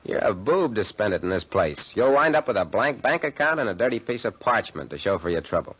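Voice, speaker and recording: An actor playing a selfish, sneering young American college playboy in a 1940s radio drama. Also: male voice, lowish voice, big pitch swings, a little fast, fairly bright tone, husky, heavily acted. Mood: scornful. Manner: sneering, dismissive. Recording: muffled 1940s radio recording.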